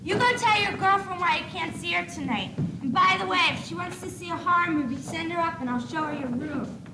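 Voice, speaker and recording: Young woman as shrill-pitched scolding mother. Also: brisk. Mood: exasperated scolding. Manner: sarcastic, indignant. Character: exasperated, scolding mother of a messy teenage boy. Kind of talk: amateur stage comedy monologue. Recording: echoey noisy 1980s stage videotape.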